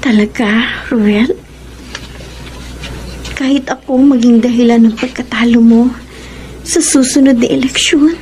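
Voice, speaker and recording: A woman's voice: on the high side, steady tone, a little slow, long pauses, noisy background, close to an everyday voice. An actress playing a devoted, self-blaming woman in a secret romance, tender and earnest, in a Filipino radio drama. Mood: worried, devoted.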